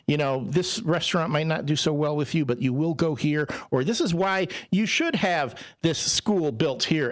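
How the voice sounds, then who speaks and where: Guy on local radio, spanish was his first language, talks fast in bursts and laughs a lot, higher voice. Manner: animated, laughing, rapid bursts of speech. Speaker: radio co-host. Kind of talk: casual radio chat. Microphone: studio microphone.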